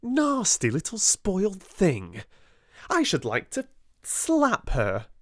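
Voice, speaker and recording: A man's voice: high voice, huge pitch swings, takes it slowly, no echo, pitched above the performer's own voice, exaggerated voice. A man doing a sneering, spiteful schoolgirl. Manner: mocking, bossy.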